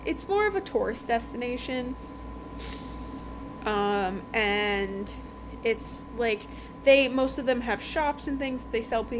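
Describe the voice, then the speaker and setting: A woman's voice: a little high, background noise. A woman podcast host giving a casual, chatty book review in an informal, emphatic way, repeating phrases for effect.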